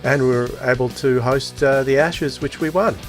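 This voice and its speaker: A man's voice: mid-range voice, normal speed, faint music behind. A man in his forties with an Australian accent, co-hosting a podcast in casual, chatty speech. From Tasmania, Australia. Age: 40s. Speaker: podcast co-host.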